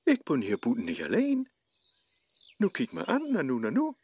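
An actor playing a hapless, lovestruck Faust in a comic rhymed radio play, with a Low German accent and theatrical asides. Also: male voice, wildly swooping pitch, moderate pace, a little mellow, slight background noise. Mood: smitten, wooing. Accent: Low German, northern Germany. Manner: theatrical, exclaiming, muttering asides. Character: lovestruck, hapless modern Faust chasing a young woman.

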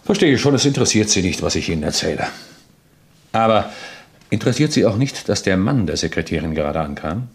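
A man's voice: low voice, lively intonation, a little fast. A man acting a keen, persistent junior detective in a crime radio play, methodically and insistently laying out his reasoning.

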